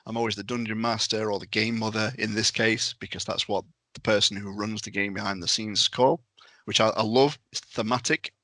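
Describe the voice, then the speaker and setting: A man's voice: lowish voice, sharp tone, no room sound. A man chatting casually on a podcast as a panelist and game master.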